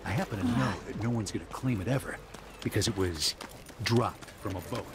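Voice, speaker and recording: A man's voice: fairly low pitch, brisk, background noise, natural voice. A man voicing a sly, streetwise townsman. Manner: conspiratorial, streetwise. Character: rough city townsman, sly fixer. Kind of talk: video game ambient dialogue.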